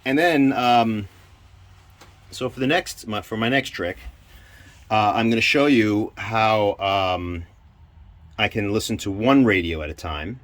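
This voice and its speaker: A man giving an informal hands-on equipment demonstration, halting and thinking aloud as he goes. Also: lowish voice, fairly bright tone. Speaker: presenter demonstrating radio equipment. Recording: clear background noise.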